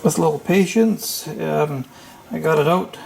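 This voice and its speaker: A man giving an unscripted, hands-on repair walkthrough, thinking aloud in a halting, tentative way. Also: a little high, creaky, darkish tone, a little hiss or hum, poor audio. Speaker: repair tutorial host.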